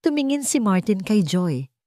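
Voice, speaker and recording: A woman's voice: medium pitch, sing-song, moderate pace, dark tone, no echo, clean studio sound. A woman narrating a scripted dramatic story as a storyteller.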